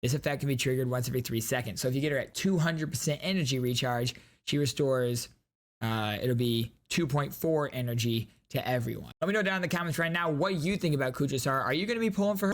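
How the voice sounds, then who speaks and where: A man hosting a gaming channel, giving enthusiastic, casual reaction commentary and reading out game details. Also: mid-range voice. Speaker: gaming YouTuber host.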